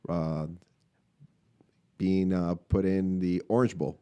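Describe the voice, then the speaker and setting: A man from Cuba in his late forties, a restaurant owner telling his story as a guest, relaxed and hesitant with many fillers. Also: low-pitched, slow, clean tone. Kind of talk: interview answers, personal story. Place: podcast room in a restaurant.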